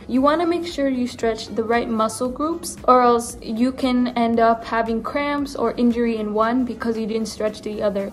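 Chatty young woman vlogging over music. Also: fairly high pitch. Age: young adult. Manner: chatty and upbeat, lots of "like" and "you guys". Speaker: college student fitness vlogger. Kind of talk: casual vlog talk to camera. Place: dorm bedroom, indoors. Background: soft background music.

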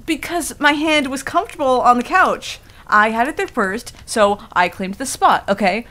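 A woman's voice: fairly high pitch, lively intonation, moderate pace, bright, forceful tone. A woman playing a prickly, easily flustered tsundere werewolf in a casual ASMR roleplay, with a defensive, stammering manner. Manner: casual, defensive, stammering when embarrassed, swears. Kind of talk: intimate ASMR roleplay acting. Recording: clearly audible background noise.

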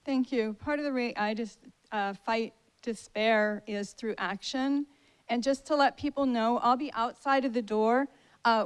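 A woman in the audience of a large hall making a brisk, direct announcement into a handheld mic. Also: on the high side, clear, resonant tone. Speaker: audience member and activist. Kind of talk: announcement at a lecture.

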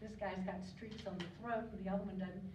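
An older woman giving an informal slide talk at a podium, chatty and digressive, with frequent ums and throat clearing. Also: medium pitch, steady tone, brisk. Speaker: guest speaker on local birds. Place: lecture hall. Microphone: podium mic, recorded by a far camera. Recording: distant echoey hall recording, muffled, noisy.

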